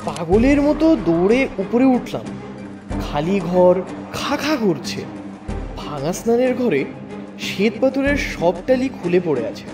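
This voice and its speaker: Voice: medium pitch, sing-song, unhurried, distant mic. A narrator telling a first-person story in a dramatic, scripted audiobook style. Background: background music.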